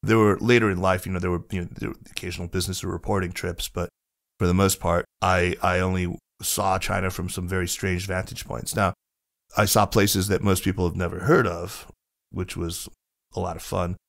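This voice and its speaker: A man's voice: low-pitched, level tone, a little fast, vocal fry. A man speaking as a podcast guest in casual conversation, reflective, with frequent restarts and fillers.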